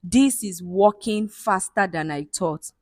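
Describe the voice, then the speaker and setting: A woman's voice: mid-range voice, takes it slowly, no echo, clean studio sound. A woman voicing a scheming Nigerian village woman. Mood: plotting. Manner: gloating, plotting.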